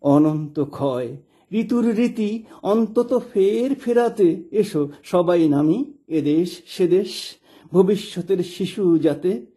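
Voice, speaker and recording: A man reciting his own poem in an expressive, rhythmic manner. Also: high-pitched, slow pace, breathy edge. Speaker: poet reading own poem. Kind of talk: poetry recitation.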